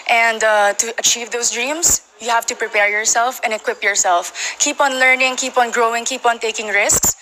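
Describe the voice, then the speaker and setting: A woman's voice: fairly high pitch, fairly even intonation, moderate pace, few pauses, bright, forceful tone, a little hiss or hum, poor audio. A woman from the Philippines answering pageant interview questions in a poised, polite manner. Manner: poised, polite, adds Filipino po. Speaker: pageant candidate.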